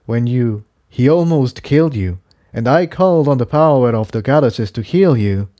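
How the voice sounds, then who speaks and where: A man's voice: mid-range voice, animated, normal speed, mellow, close to an everyday voice. Earnest, troubled male pony, read by a man. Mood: troubled, explaining.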